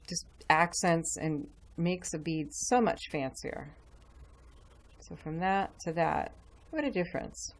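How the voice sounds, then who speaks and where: Lower-voiced woman explaining a craft. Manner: chatty, explains while working with her hands. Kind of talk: relaxed step-by-step craft demonstration.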